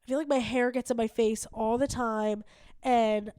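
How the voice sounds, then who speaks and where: A woman's voice: a little high, fairly even intonation, moderate pace, warm tone, no room sound. A woman of thirty co-hosting a comedy podcast, rambling casually with lots of swearing and laughter. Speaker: podcast co-host.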